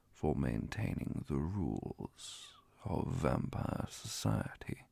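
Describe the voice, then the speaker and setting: A man playing a calm, courteous male vampire inquisitor in an ASMR roleplay, speaking softly and formally with whispers and a gravelly, breathy voice. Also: a bass voice, dry recording, full character voice. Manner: formal, measured, hushed. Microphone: close mic, dry.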